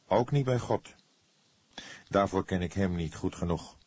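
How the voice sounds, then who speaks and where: A troubled young male crime suspect, as a male audiobook narrator plays him, set well above a low natural register. He sounds earnest and emotional, pleading his case with some defiance. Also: a little slow, a little mellow, husky. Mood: defiant.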